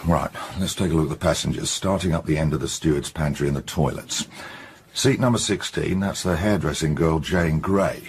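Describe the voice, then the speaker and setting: An actor playing a gruff, no-nonsense middle-aged English chief inspector in a radio detective drama, blunt and colloquial. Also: male voice, a bass voice, full character voice. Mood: sceptical. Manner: blunt, colloquial, brisk summing-up.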